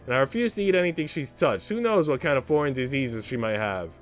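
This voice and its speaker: A man's voice: mid-range voice, expressive, fast talker, soft background music, close to an everyday voice. A man voicing a haughty, petulant young noblewoman from a visual novel, snapping indignantly.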